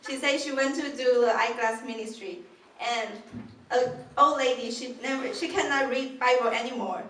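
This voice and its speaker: A woman's voice: fairly high pitch, moderate pace, fairly bright tone. A woman from Taiwan, a ship crew volunteer, speaking in simple phrasing to a church. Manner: simple phrasing, light laughs between points. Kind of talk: church presentation. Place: church hall. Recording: echoey hall, mic far off, faint noise.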